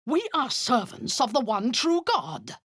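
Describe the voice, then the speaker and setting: A cold, ruthless drow commander. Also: female voice, high voice, very animated, measured pace, dry recording, studio quality, exaggerated voice. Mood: commanding. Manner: commanding, menacing, often hushed and hissing. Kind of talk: video game voice acting.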